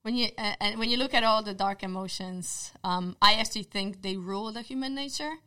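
A woman with a Polish accent speaks in a measured, thoughtful way, often pausing on fillers and restarting her thoughts. Her voice sits at an ordinary middle pitch for a woman. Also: sharp tone. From Poland. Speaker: guest, social psychologist. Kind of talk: casual conversation.

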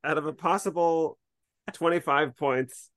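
A man's voice: on the high side, fairly even intonation, unhurried, a bit bright, no echo. A man co-hosting a podcast, talking through a review in a casual, conversational way. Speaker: podcast co-host.

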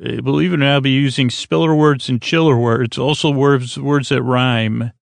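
An American man hosting a bedtime sleep podcast in a creaky, lulling tone, rambling and halting as he stumbles over his words with plenty of fillers. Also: medium pitch, steady tone, no echo. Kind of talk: rambling bedtime monologue meant to lull listeners to sleep.

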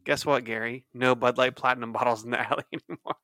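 A man in his thirties with a gravelly, bright edge to his voice. He co-hosts a podcast in laid-back, rambling chat that often trails off, recorded dry and close to the mic. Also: medium pitch, fairly even intonation, quick pace, dry recording. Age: 30s. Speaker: podcast co-host. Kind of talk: casual chat.

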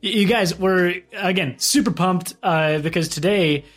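A man's voice: sits high, unhurried. Upbeat young male podcast co-host, raised voice, jokey and easygoing. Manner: playful, laughs often, animated. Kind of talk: casual chat.

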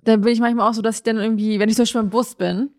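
A woman's voice: a little high, steady tone, rapid-fire, darkish tone, no echo, studio quality. Colloquial woman in casual podcast chat. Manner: colloquial, often checks for understanding. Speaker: podcast co-host.

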